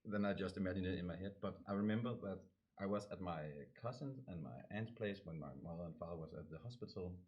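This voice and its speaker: Young male guest, Danish accent, very low pitch, casual halting chat, slightly muffled sound. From Denmark.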